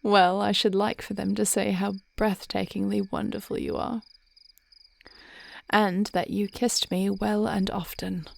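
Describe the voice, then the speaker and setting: Woman acting a creaky-voiced, thoughtful fantasy dragon queen. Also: medium pitch, little pitch movement. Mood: tender, loving. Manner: measured, reflective, tender, formal phrasing. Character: thoughtful, devoted dragon queen wife planning the future. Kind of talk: intimate fantasy audio roleplay acting.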